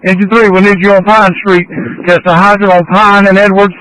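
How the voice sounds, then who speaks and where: A man with a high voice speaks as a fire incident commander on two-way radio. He gives terse, directive instructions in the clipped style of emergency radio traffic, through a crackly transmission. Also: flat delivery, brisk, a bit bright, no echo.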